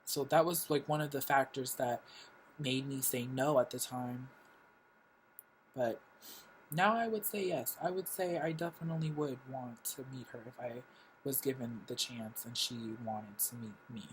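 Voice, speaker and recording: A man's voice: medium pitch, moderate pace, a little hiss or hum, poor audio. A young man born in Korea, telling his life story casually in a reflective vlog, full of fillers. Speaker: vlogger.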